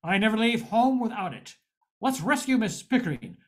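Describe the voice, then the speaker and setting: A woman's voice: fairly high pitch, sing-song, normal speed. A woman playing an earnest, resourceful male private eye in an old-time radio detective drama. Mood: determined.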